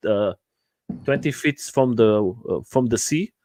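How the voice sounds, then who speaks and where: Portuguese man, halting speech, dry sound. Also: medium pitch, animated, a little mellow, no echo. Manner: hesitant, many uh fillers, laughs easily. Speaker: livestream host. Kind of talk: casual chat.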